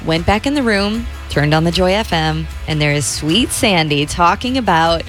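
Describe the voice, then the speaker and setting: A woman's voice: fairly low pitch, lively intonation, moderate pace, a bit bright, faint music behind, low-quality recording. A woman co-hosting a radio fundraiser, reading out listener stories and thanking givers. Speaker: radio host.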